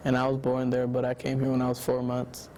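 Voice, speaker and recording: A teenage boy answers documentary questions in an extremely flat, mellow voice. He is laid-back and halting, and says "like" a lot. Faint background hiss sits under him. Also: medium pitch. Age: teens. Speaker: student interviewee.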